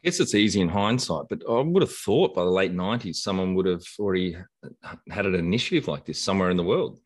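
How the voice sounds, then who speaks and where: Middle-aged male host, very low voice, relaxed and halting, webcam call. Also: breathy edge. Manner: relaxed, halting, restarts his sentences. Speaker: podcast host. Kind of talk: podcast interview hosting. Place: bright room with windows. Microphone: video call webcam.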